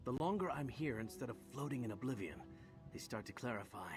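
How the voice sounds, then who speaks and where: A man's voice: mid-range voice, expressive, a little fast, natural voice. Man playing a wry roguish knight, music behind. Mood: wry, troubled. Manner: wry, conversational. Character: wry, roguish heroic knight, troubled but joking. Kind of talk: scripted game dialogue.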